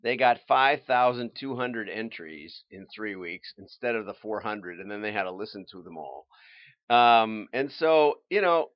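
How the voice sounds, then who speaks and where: A man's voice: lowish voice, animated, measured pace, no echo. A man hosting a livestream, chatting casually about adverts in a rambling, folksy way. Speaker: livestream host. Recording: slightly dull audio.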